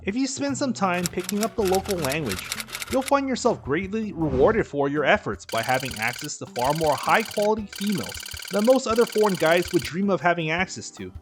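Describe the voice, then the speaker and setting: A man reads his vlog narration in a steady, instructive way, like he is passing on tips. His voice sits a bit high and moves through a lively pitch range. Soft music plays faintly underneath. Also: fairly quick. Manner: steady, instructive, advice-giving delivery. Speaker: dating and language vlogger. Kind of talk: scripted voice-over narration.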